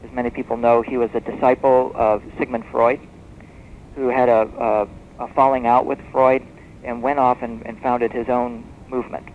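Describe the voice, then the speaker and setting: Guy guesting on old shortwave radio, explaining stuff at length, dull sound with some hiss and rumble. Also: level tone, normal speed. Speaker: guest expert. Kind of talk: radio interview, explanatory storytelling. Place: radio broadcast interview. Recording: muffled noisy shortwave radio tape.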